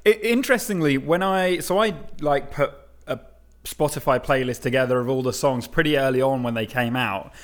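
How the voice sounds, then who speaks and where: A man's voice: mid-range voice, a little fast, fairly bright tone. Easygoing man, hesitant casual chat. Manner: easygoing, hesitant, many restarts. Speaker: podcast co-host.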